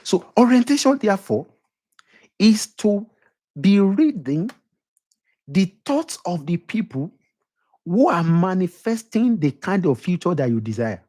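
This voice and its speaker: A man's voice: high-pitched, very animated, measured pace, long pauses, mellow. A middle-aged man with a Nigerian accent giving an emphatic motivational lecture, repeating his points and putting questions to the audience. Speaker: motivational speaker.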